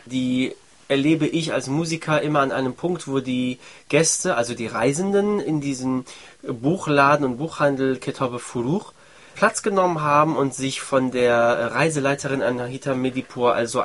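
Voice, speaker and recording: Man, from Iran, musician, medium pitch, conversational interview storytelling. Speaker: musician, percussionist.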